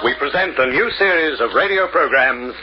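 A man's voice: on the high side, expressive, normal speed, close to an everyday voice. A man announcing a radio drama series in a dramatic old-time style. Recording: muffled echoey old radio recording, music behind.

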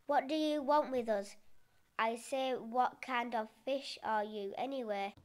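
A woman's voice: fairly high pitch, measured pace, close to an everyday voice. A woman voicing a blunt, wary old fish in a storybook reading, speaking in a spluttering manner.

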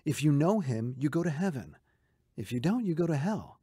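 Radio drama role: devout male coworker, sincere and persistent, slightly rough voice, lively intonation, dry recording. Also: on the high side, normal speed, no room sound. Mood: earnest. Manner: earnest, emphatic, recites scripture solemnly.

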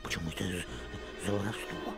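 A man playing a sneaky, grumbling servant disguised as an old crone in an animated film, wheedling and shifty. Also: low-pitched, fast, gravelly, music in the background. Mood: nervous, evasive.

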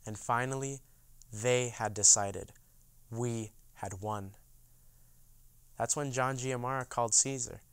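A man's voice: mid-range voice, steady tone, slow, long pauses, a bit bright, clean tone, no room sound. A young man playing a proud union boycott veteran in a theatrical, first-person storytelling monologue. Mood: reflective, proud. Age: young adult. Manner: theatrical storytelling, acts out dialogue. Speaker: actor in oral history dramatization.

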